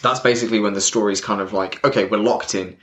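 Lowish-voiced man chatting casually. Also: fairly even intonation, fast, a bit bright. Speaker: podcast co-host, manga fan explaining to newcomer. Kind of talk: casual chat. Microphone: built-in microphone.

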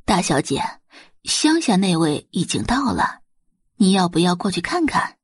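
A woman acts out a middle-aged housekeeper from an audio drama, snobbish and eager to flatter. Her slightly husky voice rises and falls animatedly as she tattles in indignant exclamations. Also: fairly low pitch, measured pace, no room sound, clean studio sound, exaggerated voice. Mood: tattling.

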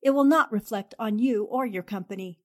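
A woman's voice: on the high side, moderate pace, a little mellow, close to an everyday voice. A woman playing a poised, tactful newspaper columnist, articulate and polite, in 1940s radio drama style. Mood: polite, reassuring.

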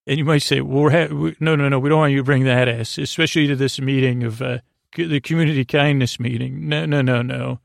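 A man hosting a bedtime podcast with a creaky voice and a lulling, goofy, meandering and halting delivery. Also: medium pitch, steady tone, dry recording, studio quality. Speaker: podcast host. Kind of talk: rambling bedtime monologue.

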